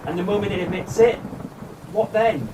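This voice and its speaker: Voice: mid-range voice, moderate pace, close to an everyday voice. An actor playing a shaken but curious adventuring companion in a fan audio drama, hesitant and questioning. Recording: distant mic, stormy background noise.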